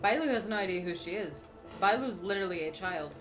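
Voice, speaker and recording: A young woman with a mid-pitched voice comments casually on a game she is streaming. She is slangy and informal, with clear game music underneath. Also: expressive, fast, rough recording. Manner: slangy, giggly. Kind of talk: casual live reaction commentary.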